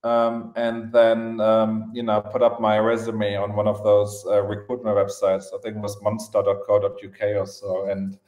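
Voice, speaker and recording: A man with a German accent telling his story casually as a podcast guest, in halting phrases with repeated words. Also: on the low side, monotone, darkish tone. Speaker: podcast guest, events entrepreneur. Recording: roomy, echoing podcast audio.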